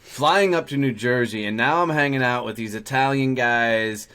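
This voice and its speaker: A man's voice: medium pitch, moderate pace, a bit bright, smooth. A middle-aged man hosting a podcast, talking bluntly and swearing freely in casual conversation. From Texas, USA. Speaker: podcast host.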